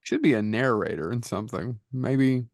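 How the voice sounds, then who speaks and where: A man with a medium-pitched voice banters as a podcast co-host. He is sarcastic and wisecracking, keeping the talk loose and casual, recorded dry with no room sound. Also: lively intonation, moderate pace, darkish tone, no echo.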